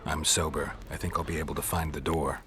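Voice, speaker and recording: Casting: laconic fantasy mercenary for a game, gruff growl, low register, flat delivery. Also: male voice, fairly quick, slight background noise. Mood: cool, probing. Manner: terse, flat, unbothered. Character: gruff, laconic, world-weary male monster-hunting witcher. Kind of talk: video game dialogue acting.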